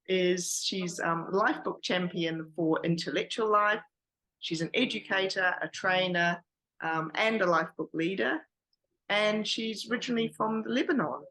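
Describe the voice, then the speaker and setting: A woman hosts a members' workshop interview over a video call, her voice bright and forward. She asks her questions casually, pausing and searching for words. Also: mid-range voice, rough recording.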